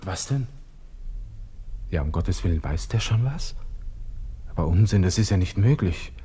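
An actor playing a young, vain, agitated army lieutenant with a Viennese accent, muttering to himself in a radio drama monologue. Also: male voice, low-pitched, lively intonation, measured pace, mellow, slightly rough, full character voice. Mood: startled, panicky. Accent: Austrian, Viennese. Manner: muttering to himself, shifting abruptly from musing to exclaiming.

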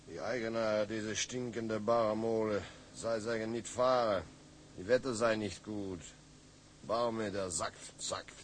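An actor playing a domineering, hard-drinking tugboat captain with a German accent, gruff and cursing as he barks orders. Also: fairly low pitch, steady tone, slow, a little hiss or hum. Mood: grumbling. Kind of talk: film drama acting.

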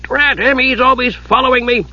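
A man's voice: very high voice, fairly even intonation, moderate pace, bright, forceful tone, no echo, muffled recording, voice pushed up, heavily acted. A man voicing a cranky, absent-minded old wizard who mutters and gets easily flustered in a fantasy audiobook. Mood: indignant.

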